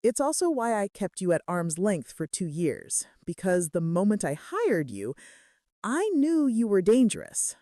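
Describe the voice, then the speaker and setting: Romance audiobook role: remorseful young crime boss played by a woman, intense, earnest, animated intonation. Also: mid-range voice, measured pace, no room sound, studio quality. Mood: tender, confessional. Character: brooding, remorseful young male mafia boss confessing his love.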